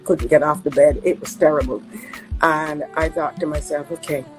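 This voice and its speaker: A woman's voice: deep voice, fairly even intonation, moderate pace, soft background music. Earnest Barbadian woman telling her story. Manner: earnest, reflective storytelling with hesitant fillers. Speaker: nonprofit co-founder. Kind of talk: personal testimony.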